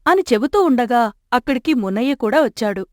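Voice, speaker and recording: A woman narrating a scripted moral story in a steady storytelling manner. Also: fairly high pitch, brisk, no echo, studio quality.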